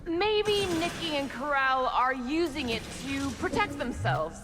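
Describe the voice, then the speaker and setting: A level-headed female alien warrior delivers dry, practical lines in a video game. Her voice is high and expressive, carrying a long reverb. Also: a little slow, music in the background. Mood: wry. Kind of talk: video game cutscene voice acting.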